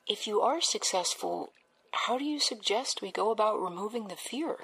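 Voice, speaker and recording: A woman's voice: mid-range voice, animated, moderate pace, a bit bright, husky, poor audio. An actress playing a young female research assistant who questions plans in a dry, practical way. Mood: skeptical. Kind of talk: audio drama acting.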